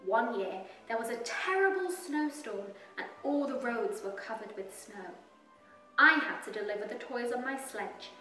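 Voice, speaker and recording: A woman reads as a kindly old village postman from a storybook, genial and unhurried as a storyteller. The voice sits in an echoing room over soft music. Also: a little high, distant mic. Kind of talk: children's storybook character voice. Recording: roomy echo, music behind.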